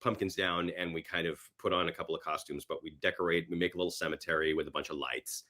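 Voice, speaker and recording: Low-voiced man chatting as podcast guest. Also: fairly even intonation, quick pace, bright, forceful tone. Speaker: actor and screenwriter. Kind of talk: casual interview chat.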